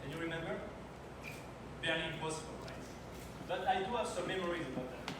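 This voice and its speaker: A man in his thirties from France gives a timed talk in English, his second language, telling his own story with slightly non-native phrasing. His voice is a bit high for a man. He is picked up from a distance in a very echoey event hall with clear noise in the background. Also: expressive, fast talker. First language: French. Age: 30s. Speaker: conference speaker. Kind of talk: timed slide presentation. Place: event hall at a launch party.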